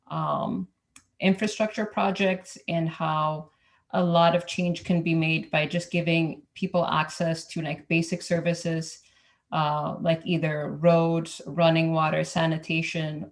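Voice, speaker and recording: A woman from Ethiopia who works as an engineer, speaking as a roundtable panelist in a hesitant way, with many fillers and restarts. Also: low voice. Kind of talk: casual roundtable talk.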